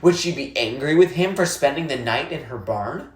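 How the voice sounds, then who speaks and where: A narrator reading a children's novel aloud in a measured, expressive storytelling way. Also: medium pitch. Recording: echoey, roomy sound.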